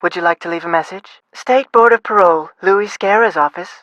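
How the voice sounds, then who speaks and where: Female receptionist played by an actress, game phone scene: clipped, guarded, businesslike, lower pitch, very dry sound. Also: a little fast, fairly bright tone, no echo, lo-fi sound, lightly acted. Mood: brisk, guarded. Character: woman parole office receptionist, brisk and guarded. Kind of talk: scripted game phone dialogue.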